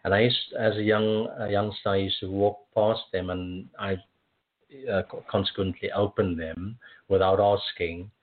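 Very deep-voiced man on a phone line. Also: flat delivery, moderate pace. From South Africa. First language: Afrikaans. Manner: hesitant, many fillers and restarts, laughs often. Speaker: poet guest. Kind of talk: conversational interview and poetry reading.

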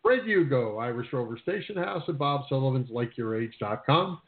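A man's voice: mid-range voice, expressive, moderate pace. Casual male host, thin phone-like sound. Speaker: sports radio co-host. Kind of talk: casual sports talk. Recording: narrow phone-like radio sound.

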